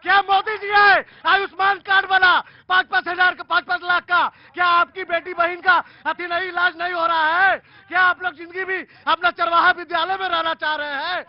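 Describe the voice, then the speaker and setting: A man's voice: very high voice, level tone, no echo. A young man, a student leader firing off rhetorical questions in a street debate. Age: young adult. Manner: rapid, insistent, rhetorical questions. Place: outdoor street crowd. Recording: dull outdoor audio with crowd. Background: faint crowd.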